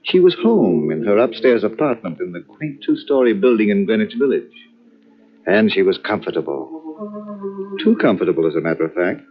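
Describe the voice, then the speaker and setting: An actor playing a welcoming librarian who hosts a crime radio show and introduces its story. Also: male voice, fairly low pitch, big pitch swings, normal speed, soft background music. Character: genial male librarian host of a crime club. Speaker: host. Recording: muffled old radio broadcast with faint hiss.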